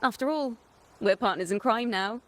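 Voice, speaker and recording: A young wandering bard from a video game, acted by a woman. Her voice sits fairly high and she speaks with earnest, loyal warmth of feeling. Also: normal speed, close to an everyday voice. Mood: earnest, hopeful. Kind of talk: game voice acting.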